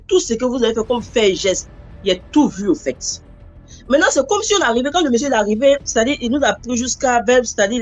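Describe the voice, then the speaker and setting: A woman telling a personal story in a rambling, animated way, acting out the voices she quotes. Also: on the high side. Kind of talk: casual spoken storytelling.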